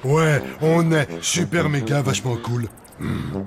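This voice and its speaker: A man playing a kindly, slow-witted cartoon zombie who speaks simply and hesitantly. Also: a little high, lively intonation, very noisy. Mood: kindly, confused. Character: kindly, dim-witted, lumbering male zombie. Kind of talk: French cartoon dub. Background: loud background music.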